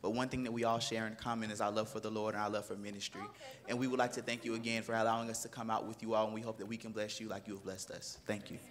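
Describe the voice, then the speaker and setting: A man speaking for a student choir, thanking a congregation in a measured, earnest way. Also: lowish voice, flat delivery. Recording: church recording with loud crowd and noise.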